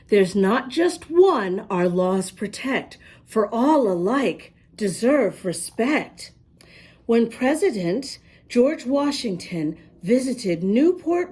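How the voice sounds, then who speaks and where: A woman reading a picture book aloud to young children, expressively, pausing to ask them questions. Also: medium pitch, darkish tone, slightly rough. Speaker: children's storybook reader and host.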